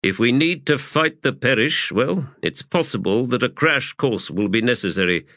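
A man as bright-voiced, self-assured army commander. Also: mid-range voice, lively intonation, normal speed, dry recording, clean studio sound, voice pushed up. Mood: explaining.

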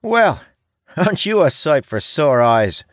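A man's voice: fairly high pitch, big pitch swings, unhurried, dry recording, clean studio sound, voice pushed up. A man voicing a breezy, sarcastic young apprentice ranger in a fantasy audiobook. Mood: sarcastic, teasing. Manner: sarcastic, teasing. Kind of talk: audiobook fantasy character acting.

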